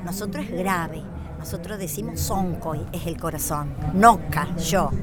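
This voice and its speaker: A woman talks reflectively, pausing often to find the right word. Loud music plays underneath her voice throughout. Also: lowish voice. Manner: thoughtful, searching for words, hesitant fillers. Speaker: interviewee, quichua scholar. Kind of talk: reflective conversational interview.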